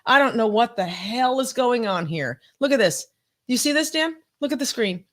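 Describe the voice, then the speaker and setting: Lively, laughing woman in her fifties. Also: fairly high pitch, moderate pace. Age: 50s. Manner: animated, laughing often, swearing freely. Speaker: podcast co-host. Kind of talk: casual live-stream chat reading viewer comments.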